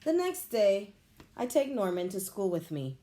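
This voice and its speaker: A woman, a teacher, reading a children's picture book aloud with expressive, animated storytelling. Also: medium pitch, a little slow.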